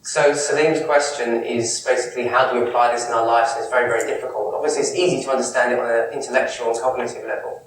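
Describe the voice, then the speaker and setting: A man in his thirties from Kent with a southern English accent, answering audience questions after a talk in a lively, conversational way. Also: mid-range voice, fast. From England, Kent. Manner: animated, gestures a lot, restarts phrases. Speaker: Islamic speaker answering questions. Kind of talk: lecture Q&A, conversational. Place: lecture room, indoors. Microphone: far camera mic. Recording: echoey room from far off.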